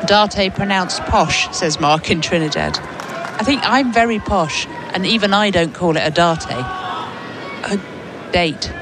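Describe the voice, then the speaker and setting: A woman's voice: fairly low pitch, expressive, a little slow, crowd noise, noisy background. A woman co-hosting a sports show, reading out listeners' messages with casual, playful banter. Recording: music playing behind, outdoor venue. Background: DJ music at outdoor venue.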